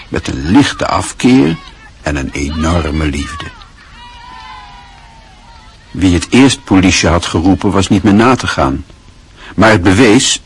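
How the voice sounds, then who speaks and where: A man narrating a Dutch radio play in a measured, literary storytelling manner. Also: low voice, slight background noise.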